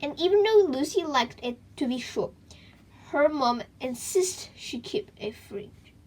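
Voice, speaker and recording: A woman reading a children's book aloud in an expressive, dramatic way, with chatty asides to her young listeners. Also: high voice, unhurried, rough recording.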